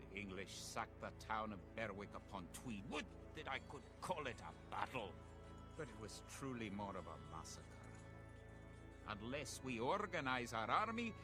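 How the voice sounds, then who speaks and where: An actor playing a grave, resolute medieval Scottish soldier, narrating a war in a dramatic, theatrical storytelling style for a game cutscene. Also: male voice, mid-range voice. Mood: grim, then urgent. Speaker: campaign narrator. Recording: music under the voice.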